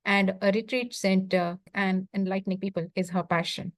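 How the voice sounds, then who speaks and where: A woman's voice: medium pitch, fairly even intonation, normal speed, no room sound. Woman host with a dry, close sound. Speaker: host introducing a guest speaker. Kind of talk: formal spoken introduction.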